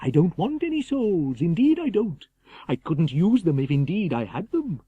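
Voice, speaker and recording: A man's voice: sits high, big pitch swings, moderate pace, warm tone, husky, no echo, lo-fi sound, voice pushed up, exaggerated voice. A man voicing an agitated, cunning asylum madman, superior and evasive, in a theatrical, erratic and insistent manner for an audiobook. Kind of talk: dramatised audiobook character voice.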